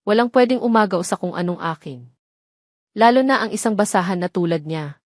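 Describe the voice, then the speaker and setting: A woman's voice: mid-range voice, expressive, brisk, dry recording, studio quality, natural voice. A woman voicing a falsely sweet, possessive rich young woman in an audio drama, mixing Tagalog and English. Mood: cold, possessive. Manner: sugary, code-switching between Tagalog and English.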